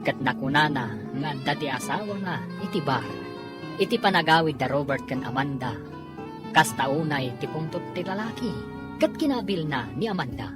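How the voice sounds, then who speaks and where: A woman with a lowish, animated voice narrates a radio serial over prominent music. She announces in a measured way, drawing out her words for drama. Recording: prominent music bed under the voice.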